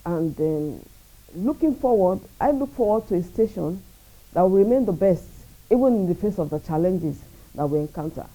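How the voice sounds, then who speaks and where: A Nigerian woman speaking as a radio station executive in an interview, measured, earnest and proud. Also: lowish voice, expressive, mellow, rough recording. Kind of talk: interview answers.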